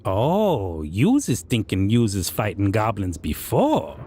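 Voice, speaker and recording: Slow, swooping goblin king. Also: lowish voice, huge pitch swings, warm tone, a little hiss or hum, full character voice. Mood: mocking. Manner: broken grammar, musing hums and chuckles. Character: proud male goblin king of the north, civilized, amused, menacing. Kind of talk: roleplay audio monologue.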